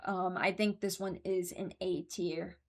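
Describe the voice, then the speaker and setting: A woman's voice: lowish voice, flat delivery, measured pace. A woman giving casual, rambling commentary as a host, thinking aloud as she goes. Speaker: host ranking game voice lines.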